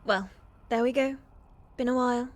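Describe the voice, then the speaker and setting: A woman's voice: a little high, normal speed, close to an everyday voice. A woman playing a shy, sweet young witch's apprentice in an ASMR fantasy roleplay, polite, hesitant and eager to please.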